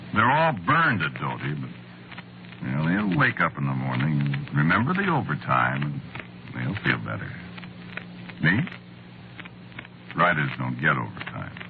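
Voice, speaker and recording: An American actor playing a wry, put-upon screenwriter in a 1940s radio drama, talking in a halting, conversational, sardonic way. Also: male voice, a bass voice, full character voice. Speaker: narrator and lead actor. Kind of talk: 1940s radio acting, conversational first-person narration. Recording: muffled, noisy old radio recording.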